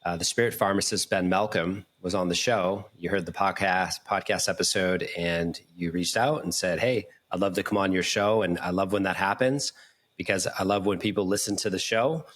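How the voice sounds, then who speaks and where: A man's voice: low voice, steady tone, normal speed, fairly bright tone. A man hosting an interview podcast, speaking in an earnest, effusive and encouraging way. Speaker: podcast host. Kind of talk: podcast intro and interview talk.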